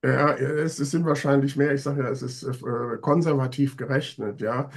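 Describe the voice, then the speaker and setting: A man's voice: medium pitch, fairly even intonation. A man in his sixties with a cold, co-hosting a casual political chat over a video call, sardonic and emphatic. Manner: sardonic, emphatic, drawn-out complaints. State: has a cold, stuffy. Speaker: co-host. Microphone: video call webcam.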